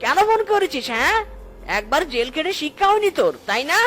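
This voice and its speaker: A woman's voice: high-pitched, sing-song, quick pace, a bit bright, faint music behind. A woman voicing an agitated cartoon father who pleads and scolds. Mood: angry. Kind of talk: cartoon voice acting.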